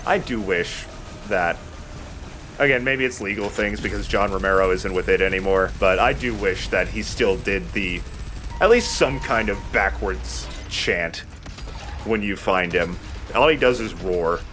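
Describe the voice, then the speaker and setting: A man's voice: sits low, a little slow, music in the background. A man giving casual, rambling and jokey commentary as he hosts a video game playthrough. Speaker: let's play host.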